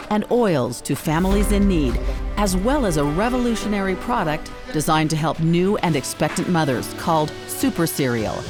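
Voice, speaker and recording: A woman narrating a news report in a measured, scripted delivery. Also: on the low side. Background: music bed.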